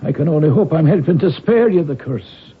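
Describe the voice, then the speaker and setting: An actor playing a loyal, anxious old family servant in a radio drama, husky and earnest. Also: male voice, on the high side, lively intonation, fairly quick. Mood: solemn, earnest. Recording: muffled narrow-band radio broadcast recording.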